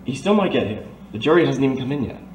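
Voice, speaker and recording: A man's voice: mid-range voice, sing-song, quick pace, natural voice. Male actor as courteous, reassuring Major for detective serial; formal manner, roomy distant sound, faint noise. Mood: gently reassuring. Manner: formal, polite, old-fashioned turns of phrase. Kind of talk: audio drama acting in a 1930s detective serial.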